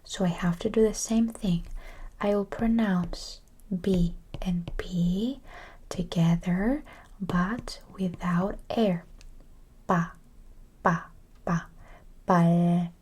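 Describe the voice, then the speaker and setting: A woman teaching a language in a breathy ASMR whisper, encouraging and repeating words syllable by syllable. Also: lowish voice, measured pace, warm tone, a little hiss or hum.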